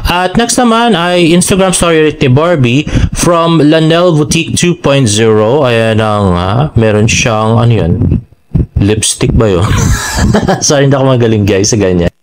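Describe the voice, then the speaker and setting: A man's voice: mid-range voice, normal speed, slight background noise, poor audio. A man with a Filipino accent hosting a fan vlog, chatting casually as he reads out social media posts and comments on them. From Philippines. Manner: chatty, mixes Tagalog and English. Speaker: fan vlogger and host.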